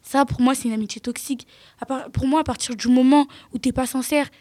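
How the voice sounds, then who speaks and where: Blunt teen girl, higher voice, dry booth sound. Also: steady tone, fairly quick, dry recording. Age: teens. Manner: blunt, outspoken, trailing off quietly. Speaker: teen guest. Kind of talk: casual discussion.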